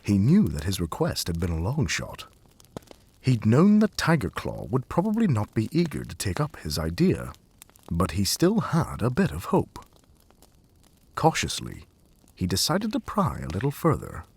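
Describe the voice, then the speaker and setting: A man narrating an animal adventure story in an expressive storybook style. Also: lowish voice, moderate pace, creaky, husky. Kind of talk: animated fan story narration with character voices.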